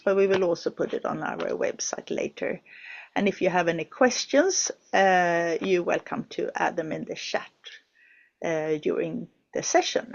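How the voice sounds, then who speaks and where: A woman's voice: fairly low pitch, highs cut off. A woman hosting an online project session from a studio, talking hesitantly with many fillers and restarts. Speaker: webinar host.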